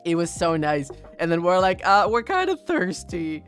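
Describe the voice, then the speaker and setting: Young male streamer from Argentina, animated storyteller, pitch swings wide, faint music behind. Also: normal speed. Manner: animated, laughs often, raises pitch sharply to act out quotes. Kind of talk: casual storytelling chat.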